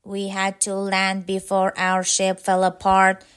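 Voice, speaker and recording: A woman voicing young space-travelling friends with a plain, expressive delivery in a children's storybook. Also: mid-range voice, slow, smooth. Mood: uneasy.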